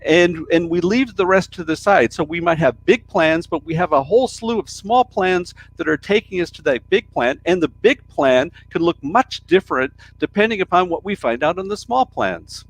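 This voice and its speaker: A man's voice: sits high, animated, moderate pace, few pauses. A man from the United States with an American accent, co-hosting a live stream discussion, talking casually and often repeating words as he thinks. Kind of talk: casual discussion about business change.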